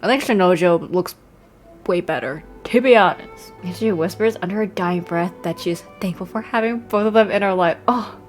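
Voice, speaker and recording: A woman's voice: lowish voice, moderate pace, faint music behind. A young woman giving casual, joking commentary as a game streamer, playful, theatrical and slangy.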